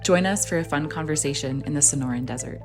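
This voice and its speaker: A woman's voice: deep voice, fast talker, music in the background. A woman hosting an interview, reading a guest introduction and then chatting cheerfully with her guest outdoors. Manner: upbeat, affirming. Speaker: host. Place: outdoors in a desert botanical garden.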